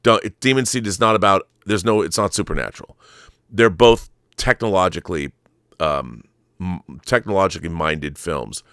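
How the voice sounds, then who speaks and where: Smooth, low-voiced middle-aged man, enthusiastic rambler. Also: lively intonation, moderate pace, a bit bright, dry recording, studio quality. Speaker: show host. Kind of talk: casual film commentary monologue.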